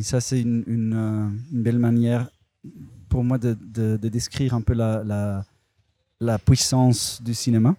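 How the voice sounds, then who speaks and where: A man's voice: medium pitch, dark tone, no echo. A filmmaker, a man speaking a language that is not his first, answers interview questions hesitantly, restarting and searching for words. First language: not French.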